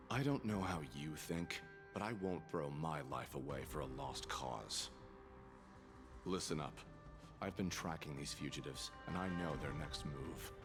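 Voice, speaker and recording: Very deep, deadpan grim guard. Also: male voice. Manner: deadpan, cold, sighing. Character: grim, fatalistic male prison-infiltrating guard and assassin. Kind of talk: video game voice acting.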